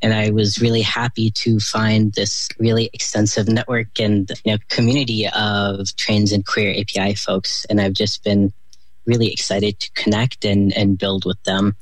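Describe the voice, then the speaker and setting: A young organizer from New Jersey introduces themselves on a call-in show. They speak warmly but hesitantly, filling the gaps with "you know" and "uh." The sound is dry, with no room around it. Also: mid-range voice, level tone, moderate pace, no echo. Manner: warm, hesitant, many fillers. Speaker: guest, community organizer.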